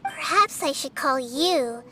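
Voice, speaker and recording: A woman's voice: high voice, slow pace, bright, forceful tone, slight background noise, full character voice. A woman voicing a small, curious and wise young girl deity in a video game. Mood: calm, knowing. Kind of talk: video game character dialogue.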